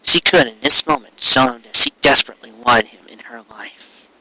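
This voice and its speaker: A man narrates a piece of fiction in a very bright, forceful voice of middling pitch. His delivery is earnest and expressive, and he sets phrases apart with pauses for emphasis. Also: rough recording. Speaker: fan fiction reader. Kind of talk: dramatic scripted story narration.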